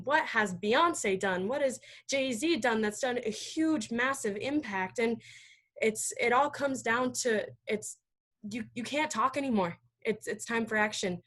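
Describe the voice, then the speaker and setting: An American woman speaking earnestly and emphatically as a panelist in an impassioned discussion over a video call, halting now and then. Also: fairly high pitch, fairly bright tone.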